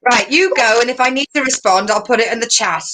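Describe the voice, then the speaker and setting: A woman's voice: fairly high pitch, fast talker, pushed and bright, a little hiss or hum. A woman chatting casually and playfully over a video call as co-host. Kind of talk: casual chat.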